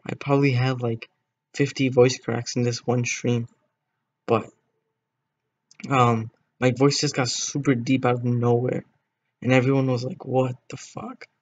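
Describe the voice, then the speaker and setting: A man's voice: mid-range voice, flat delivery, a little slow, long pauses, muffled recording, a little hiss or hum. A teenage boy streaming a game, chatting casually with viewers in a rambling way, voice cracking now and then. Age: teens. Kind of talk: casual gameplay stream chat.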